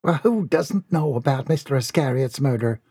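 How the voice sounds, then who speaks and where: A man's voice: medium pitch, animated, normal speed, dark tone, breathy edge, no echo, studio quality. A man voicing a fretful, timid, motherly woman cook in a storybook. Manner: fretful, soothing.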